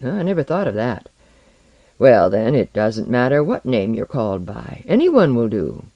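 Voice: mid-range voice, very animated, normal speed, gravelly, dry recording, dull audio. Reader doing a dry, offhand, grumpy mentor. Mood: mild, offhand. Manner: dry, matter-of-fact, grumpy. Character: mild, offhand, sour and short-tempered mentor figure.

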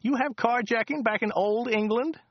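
A man voicing a chatty, excitable driver who rambles, repeats himself and laughs at his own jokes. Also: normal speed, no room sound, highs cut off. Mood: rambling chatter. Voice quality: high, loud and excitable. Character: chatty loud male American taxi driver. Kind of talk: audiobook character voice.

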